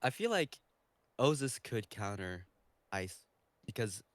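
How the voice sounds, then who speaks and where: A man's voice: lowish voice, sing-song, takes it slowly, no room sound. A man speaking tentatively and casually as a guest on a podcast. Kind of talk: casual chat.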